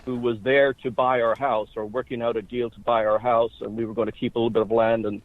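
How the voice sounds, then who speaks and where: A man in his sixties, from Ireland, telling his life story as an interview guest in a measured way. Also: medium pitch, level tone, no echo. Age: 60s. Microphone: phone. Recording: tinny phone line.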